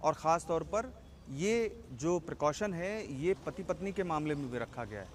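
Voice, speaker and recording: A man, a senior correspondent giving a deliberate, explanatory live report. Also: on the high side, animated, slight background noise.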